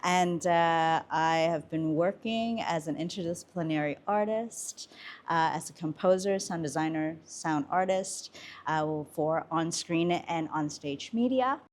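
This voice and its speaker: A woman in her thirties from Hong Kong, a composer, speaking reflectively in halting phrases full of fillers. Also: sits low. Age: 30s. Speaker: composer and sound artist guest. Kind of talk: casual conversation.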